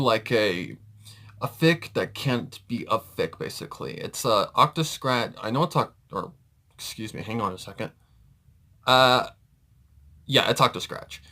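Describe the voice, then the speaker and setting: A man's voice: medium pitch, pushed and bright. A man hosting a reaction video, chatting casually off the cuff in a hesitant, rambling way as he thinks aloud.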